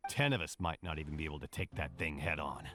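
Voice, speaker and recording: Deep-voiced, grumbling worker. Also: male voice, expressive, fast talker, fairly bright tone. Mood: exasperated. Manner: grumbling, exasperated. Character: grumbling, weary male local worker. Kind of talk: video game voice acting. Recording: music behind the voice.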